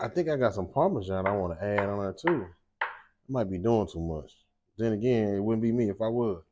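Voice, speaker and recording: Chatty man hosting a home cooking show. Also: on the low side. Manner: chatty, animated, talks straight to viewers. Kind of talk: casual cooking chat and monologue. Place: home kitchen, indoors.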